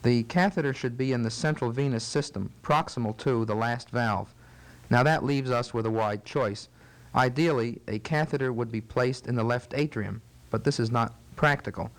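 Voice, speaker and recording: A man speaks as a medical expert being interviewed. His delivery is formal and methodical, with carefully built, precise explanations in a measured teaching style. His voice sits at an ordinary male pitch.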